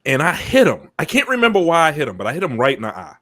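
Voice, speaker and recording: A man's voice: fairly high pitch, huge pitch swings, racing, fairly bright tone, dry recording, studio quality. A man in his forties from Chicago, co-hosting a podcast, joking and telling stories in casual chat. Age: 40s. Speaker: podcast co-host.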